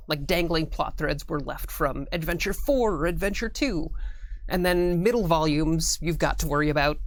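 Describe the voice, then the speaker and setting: A woman's voice: deep voice, dry recording. A woman speaking as an interview guest, explaining things in casual chat with hypothetical examples. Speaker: guest, tabletop RPG adventure writer. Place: studio.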